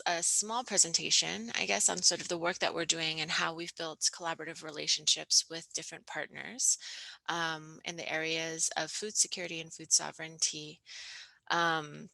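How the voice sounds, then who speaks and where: Woman in her 30s presenting over a laptop mic, lowish voice, lots of ums, earnest. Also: steady tone, normal speed, vocal fry, pushed and bright, low-quality recording. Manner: earnest, many ums, loose and conversational. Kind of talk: online presentation talk. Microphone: laptop mic on video call.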